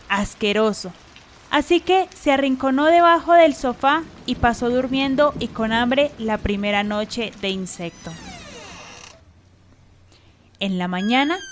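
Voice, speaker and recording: A woman's voice: a little high, slight background noise. A woman narrator telling a scripted story for a radio drama.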